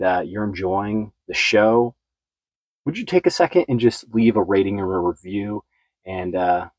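Male podcast host, lowish voice; friendly, offhand delivery, studio setting. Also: a little mellow, no room sound, low-quality recording. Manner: friendly, loose, many fillers. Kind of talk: casual interview chat.

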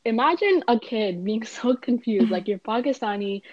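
A woman's voice: fairly high pitch, lively intonation, moderate pace, no room sound. Young female student in casual chat, filler-heavy, recorded dull and dry. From Canada. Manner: chatty, frequent "like" and "you know" fillers. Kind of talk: casual conversation.

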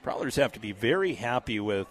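A man with a scratchy voice calling a high school football game play by play, steady and measured, with casual fillers. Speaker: play-by-play announcer.

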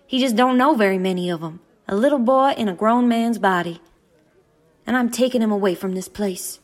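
A woman's voice: mid-range voice, natural voice. A woman playing a knowing saloon woman. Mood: scornful. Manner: slow, knowing storytelling. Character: worldly Old West saloon woman, scornful. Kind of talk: hobby audio play acting.

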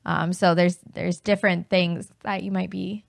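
A woman from Florida co-hosting a podcast in casual chat, her voice deeper than usual because she is losing it. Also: flat delivery, moderate pace, creaky, no echo, studio quality. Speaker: podcast co-host.

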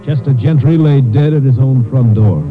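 A man's voice: mid-range voice, normal speed. A man giving scripted narration for an old-time radio drama. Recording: muffled old radio recording. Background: music.

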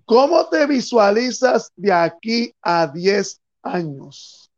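A man's voice: sits high, sing-song, slow, highs cut off. A man hosting a podcast interview, asking questions and giving brief encouraging interjections.

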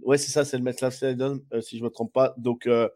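Male co-host, mid pitch, stuffy from a cold, loose rambling delivery. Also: level tone, fairly quick, dark tone. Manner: rambling, many fillers and restarts. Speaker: podcast co-host. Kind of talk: casual sports chat.

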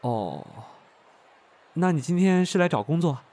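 A man's voice: fairly high pitch, big pitch swings, a little slow, mellow, a little hiss or hum. An actor playing an eager, chummy young male manager in an audio drama, friendly and boisterous. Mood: cheerful, coaxing. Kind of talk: Chinese audio drama acting.

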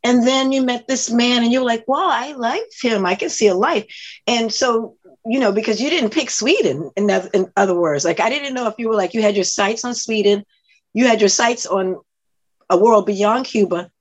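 A woman's voice: on the high side, normal speed. A woman hosting an interview, asking long questions with many restarts. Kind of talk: interview conversation.

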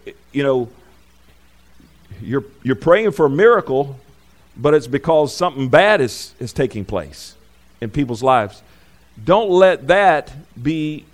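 A male preacher speaking off the cuff to his congregation, in a voice of ordinary middle pitch. He rambles and corrects himself mid-phrase, then rises loud and high when he drives a point home. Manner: rambling, self-correcting, calls for amens. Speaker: pastor.

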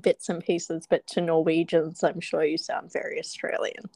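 A woman's voice: sits low, level tone, moderate pace, darkish tone, no room sound. A woman co-hosting a candid podcast, speaking in an easygoing, reassuring way with plenty of casual "yeah" fillers. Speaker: podcast co-host. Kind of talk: candid conversational podcast chat.

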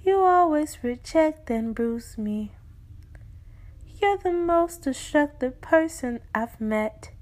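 A woman voicing her own wounded reflection, a young woman who speaks urgently and emphatically to her, slowly pleading with her to heal. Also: high voice, expressive, pitched above the performer's own voice. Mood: anguished.

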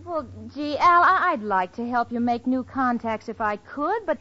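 High-pitched, wry sitcom roommate. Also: female voice, lively intonation, normal speed, sharp tone. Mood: exasperated, wry. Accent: American. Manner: wry, sarcastic, emphatic. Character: quick-witted, exasperated young single woman, sitcom roommate. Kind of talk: 1940s-50s radio comedy acting. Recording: dull old-time radio recording.

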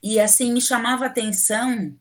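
A woman's voice: on the high side, fairly even intonation, fairly quick. A middle-aged woman from southern Brazil with a southern Brazilian accent, a civil engineering professor speaking as a guest in a casual interview. She is chatty and opinionated, and her talk is full of fillers. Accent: southern Brazilian Portuguese.

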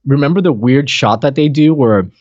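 A man co-hosting a podcast, chatting casually and animatedly with halting repeats, on slightly muffled audio. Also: mid-range voice, a little mellow, no echo. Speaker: podcast co-host. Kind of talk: casual chat about a comedy show. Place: recording on camera for a video podcast. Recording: dull, close, dry audio.

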